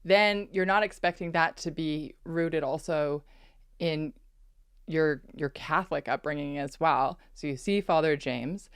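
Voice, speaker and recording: A woman hosting a podcast, asking questions in a lively, playful way in a casual interview. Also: deep voice, a little slow, smooth, no echo, clean studio sound. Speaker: podcast host. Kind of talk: casual interview over video call.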